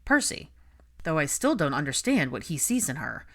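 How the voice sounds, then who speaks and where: A woman's voice: low voice, big pitch swings, normal speed, fairly bright tone, exaggerated voice. A woman voicing a casual, defensive young man in a storybook reading. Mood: puzzled. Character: defensive, shrugging young bachelor.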